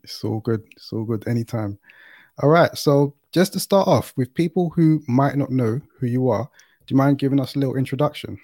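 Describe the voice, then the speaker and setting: A man hosting an interview in a mellow, warm tone. He sounds easygoing and laughs along with his guest. His voice sits close to the mic, with no room echo. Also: mid-range voice, a little fast. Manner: easygoing, laughs along, repeats short phrases. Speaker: podcast host. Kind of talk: casual interview talk.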